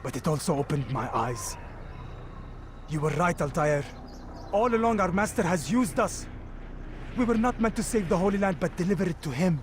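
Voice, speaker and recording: An actor playing a loyal, gravely determined medieval warrior, solemn and formal, in a dramatic video game cutscene. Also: male voice, high voice, expressive, normal speed, long pauses, slight background noise. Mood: grave, disillusioned.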